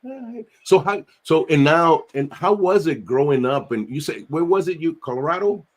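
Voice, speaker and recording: A man, hosting a podcast with energetic, streetwise talk sprinkled with Spanish. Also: a little high, normal speed. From New York. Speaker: podcast host. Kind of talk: casual interview chat.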